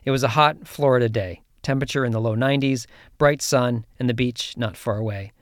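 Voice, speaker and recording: A man's voice: medium pitch, no room sound. Dry-sounding male podcast host. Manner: measured storytelling intro, relaxed questions. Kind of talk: scripted narration then interview.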